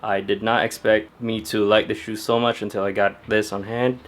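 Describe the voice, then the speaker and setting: Flat-voiced, low-pitched young man vlogging. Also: normal speed, background noise. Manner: laid-back, chatty, with frequent "uh" fillers. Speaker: sneaker vlogger. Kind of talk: casual talk to camera. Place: at home indoors.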